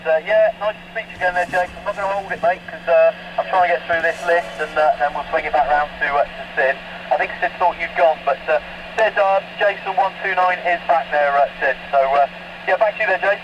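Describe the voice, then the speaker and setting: A man's voice: fairly high pitch, steady tone, fairly quick. A man chatting casually as an operator over CB radio. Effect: CB radio transmission. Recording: muffled, noisy CB radio reception.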